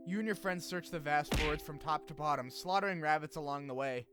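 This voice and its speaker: Man, fairly high voice, video essay host, scripted story recap, casual sweary asides, music behind. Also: fairly quick. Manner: informal, swearing, animated asides. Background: music under the voice.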